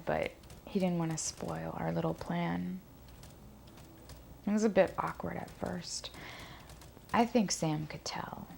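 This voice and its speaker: An actress as a confiding woman with slight creak. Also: lowish voice, darkish tone, slight background noise. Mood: reflective. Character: reflective woman recounting meeting her secret half-brother. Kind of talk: naturalistic documentary-interview style acting.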